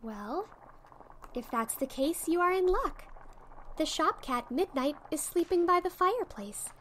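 A woman voicing an encouraging young witch friend in a soft, patient ASMR roleplay, with fizzing, bubbling effects. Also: very high-pitched, expressive, a little slow, slight background noise, heavily acted. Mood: helpful, friendly. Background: fizzing, bubbling sound effects.

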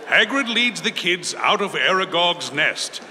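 Guy reading stage directions, very bright lively voice, echoey hall. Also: high-pitched, unhurried. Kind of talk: scripted narration at staged reading. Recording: reverberant hall, faint noise.

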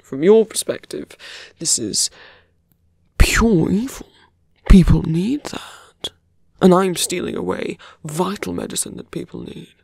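A man voicing a suave, condescending fantasy villain and thief in a breathy, gravelly half-whisper for an ASMR roleplay. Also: sits high, very animated, darkish tone, no room sound, clean studio sound, full character voice. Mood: mocking, indignant. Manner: slow, deliberate, teasing. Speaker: voice actor in roleplay audio. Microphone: close, dry microphone.

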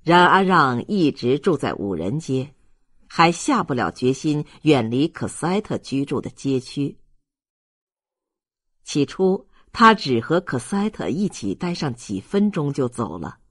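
An audiobook narrator reading a literary novel in an expressive storytelling style. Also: mid-range voice, measured pace, husky, no room sound, studio quality.

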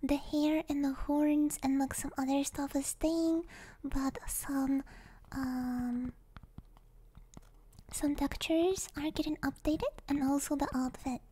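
Very high, soft young woman, native Russian speaker. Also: fairly even intonation, slow pace. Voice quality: soft, often dropping into a whisper. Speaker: ASMR streamer. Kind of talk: soft ASMR livestream chat. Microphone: close binaural microphone.

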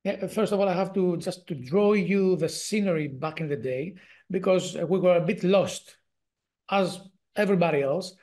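A man in his forties speaks English with a strong Greek accent, stopping often on fillers and restarting his sentences. He is heard over a video call from a home office, and his voice sits fairly high for a man. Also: moderate pace, a little mellow. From Greece. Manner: hesitant, many fillers and restarts. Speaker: guest researcher. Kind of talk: casual interview talk.

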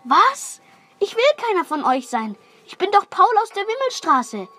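A woman's voice: very high-pitched, very animated, unhurried, fairly bright tone, pitched above the performer's own voice, heavily acted. A woman voicing a bewildered, indignant fifteen-year-old boy in a children's radio play. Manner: exclaiming, bewildered.

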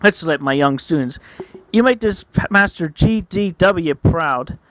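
A woman voicing a male martial arts master, firm and encouraging, instructing deliberately in an anime-style story. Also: lowish voice, expressive, a little hiss or hum. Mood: commanding.

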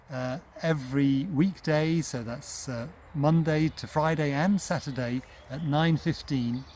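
A man in his fifties leads an online church service in a measured, unhurried way. His voice sits a little high for a man. He is recorded outdoors in a garden, with faint ambient noise around him. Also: a little mellow. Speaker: lay reader leading an online church service. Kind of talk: welcome, notices and spoken prayer to camera.

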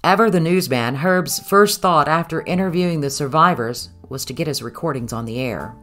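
A woman with a low voice narrates a historical documentary from a script. Faint music plays behind her. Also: moderate pace, a bit bright.

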